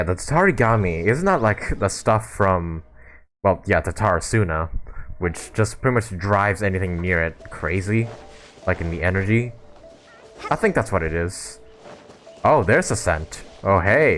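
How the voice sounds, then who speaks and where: A man's voice: low voice, expressive, a little slow, fairly bright tone. A man from Canada hosting a gaming video, giving casual commentary and reading dialogue aloud. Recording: faint game music behind. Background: game music.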